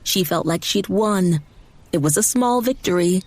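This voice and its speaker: A woman reading dramatized fiction narration in a measured way with deliberate emphasis. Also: fairly low pitch, animated, a little mellow, dry recording. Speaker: audiobook narrator.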